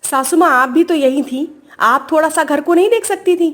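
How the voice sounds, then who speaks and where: Casting: female performer as whiny, back-talking young daughter-in-law, highish voice, defiant temper, domestic story drama. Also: moderate pace, a bit bright. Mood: cheeky, annoyed.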